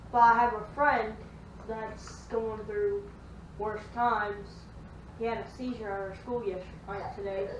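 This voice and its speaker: A young woman chatting casually as she hosts a home vlog. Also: medium pitch. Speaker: vlog host. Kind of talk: casual chat. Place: echoey room at home. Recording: echoey room, distant muffled mic, faint noise.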